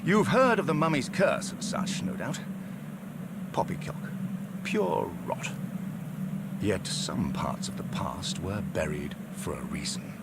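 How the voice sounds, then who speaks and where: A man's voice: on the low side, big pitch swings, takes it slowly, very noisy, heavily acted. An actor playing an aging, erudite British occult scholar in a game cutscene, theatrical and pompous in his delivery. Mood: ominous, dramatic. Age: older. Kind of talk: scripted video game cutscene dialogue.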